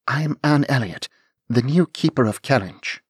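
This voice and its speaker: A man's voice: medium pitch, expressive, normal speed, slightly rough, dry recording, studio quality, voice pushed up. A man doing a poised, formal young lady. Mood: formal, firm. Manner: formal, polite, firm. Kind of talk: audiobook character voice.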